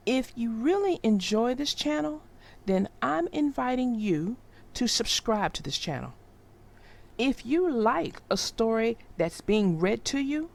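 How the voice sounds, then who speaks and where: A woman hosting and narrating a read-aloud for young children, speaking deliberately and expressively to her listeners. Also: fairly high pitch, gravelly, darkish tone. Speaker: children's story narrator and channel host. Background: music.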